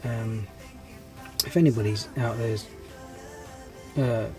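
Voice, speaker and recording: A man with a stuffy nose and a voice that is giving out chats casually to his viewers. He hesitates a lot, often restarting his sentences, and stays friendly and easygoing throughout. Clear background music plays under his voice. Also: lowish voice, fairly even intonation, takes it slowly, a little mellow, poor audio. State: congested with a cold, losing his voice. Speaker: scale model hobby channel host. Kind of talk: casual rambling vlog update.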